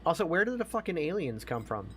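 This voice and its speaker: A man's voice: on the high side, sing-song, fast, a bit bright. Opinionated, profane man over background noise. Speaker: podcast co-host. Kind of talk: casual chat about movies.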